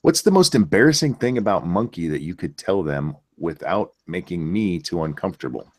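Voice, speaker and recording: Casting: male host, low voice with lively swoops, blunt and crude, chatting casually. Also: brisk. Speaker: livestream host. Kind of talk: casual chat.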